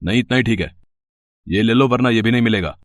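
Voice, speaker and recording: Man as a curt, low-voiced boss. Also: fairly quick, no echo, natural voice. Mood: curt, dismissive. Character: curt, unyielding male boss; also brief tradesman, doctor. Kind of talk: animated moral story voice acting.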